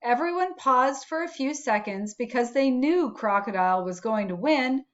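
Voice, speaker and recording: A woman narrating a children's storybook aloud in a measured, expressive storytelling manner. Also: sits high, lo-fi sound. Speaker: storybook reader.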